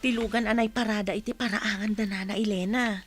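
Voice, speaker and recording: A woman's voice: medium pitch, fairly even intonation, brisk, faint music behind, natural voice. A woman with an Ilocano accent acting as a nosy, envious village wife in a radio comedy drama.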